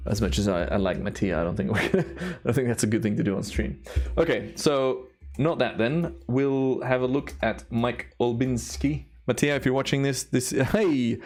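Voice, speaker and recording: Male streamer, medium pitch, loose casual commentary, easily awed, voice wearing thin. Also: a little mellow. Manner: enthusiastic, awed exclamations. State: voice tiring from a day of recording. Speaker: live stream host, timelapse photographer.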